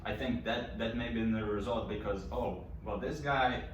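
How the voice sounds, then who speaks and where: A man's voice: on the low side, moderate pace. A man with a foreign accent, co-hosting a panel discussion and often stumbling and repeating words. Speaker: co-host. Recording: very echoey room from far off, faint noise.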